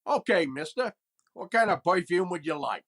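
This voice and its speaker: An actor playing a blunt, plain-spoken working-class perfume clerk, deadpan, in old-time radio comedy style. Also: male voice, sits high, moderate pace. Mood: deadpan salesmanship.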